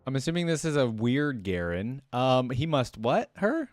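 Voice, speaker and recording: A man's voice: mid-range voice, big pitch swings, moderate pace, no room sound. A man giving casual reaction commentary as host, easygoing and thinking aloud as he goes. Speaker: host of a fan reaction video.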